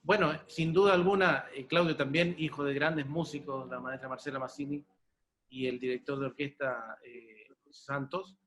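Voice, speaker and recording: A man with a Chilean accent hosting an unscripted interview in a wordy, halting way, repeating words as he searches. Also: mid-range voice, fairly even intonation, a bit bright. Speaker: host and interviewer.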